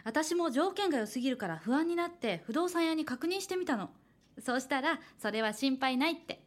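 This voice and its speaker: A high-pitched young woman in a horror radio drama. She is a hopeful, cheerful would-be manga artist who likes to reassure, with a faint unease underneath. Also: animated, a little fast. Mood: cheerful, reassuring. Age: young adult. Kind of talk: Japanese horror radio drama acting with first-person narration.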